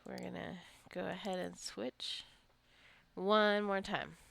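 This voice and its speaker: Woman, casual gaming commentator, lowish creaky voice, unscripted and rambling, faint noise behind. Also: level tone, takes it slowly, a bit bright. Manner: rambling, thinking aloud. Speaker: gaming video creator. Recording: clicking and faint background noise.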